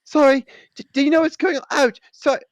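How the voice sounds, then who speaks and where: Man playing a clumsy teen boy, stammering, high and loud, dry. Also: steady tone, a little fast, no echo. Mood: flustered. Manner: flustered, stammering. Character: clumsy flustered teenage boy.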